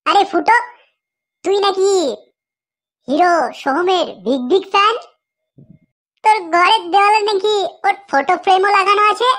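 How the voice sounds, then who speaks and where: A woman performs a high-voiced cartoon pal, excitable and teasing. The delivery is exclamatory and comic, with words doubled and repeated for effect. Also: moderate pace, pauses often. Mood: excited teasing.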